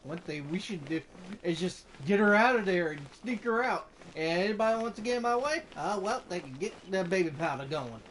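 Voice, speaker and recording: A woman's voice: on the low side, lively intonation, brisk, a little hiss or hum. A woman giving casual, off-the-cuff commentary while playing a game. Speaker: gamer, video creator.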